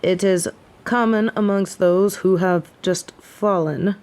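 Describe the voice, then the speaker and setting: Woman acting a slow-spoken, haughty sick angel. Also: mid-range voice, steady tone, a little mellow, natural voice. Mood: puzzled, weary. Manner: haughty, formal, archaic phrasing. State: sick with a head cold, sore throat. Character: snobby haughty fallen angel of judgment, sick with a cold. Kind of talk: dramatic audio roleplay.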